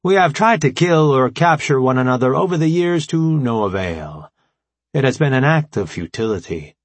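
A man voicing a formal, logical artificial intelligence robot leader in an audiobook, explaining things in precise, measured language. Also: medium pitch, animated, dry recording, voice pushed up, natural voice. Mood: measured, resigned. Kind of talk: audiobook character dialogue.

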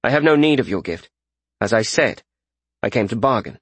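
A man's voice: mid-range voice, expressive, normal speed, husky, dry recording. A man voicing a cool, calculating warrior negotiator in a fantasy audiobook, formal and controlled. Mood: composed, persuasive.

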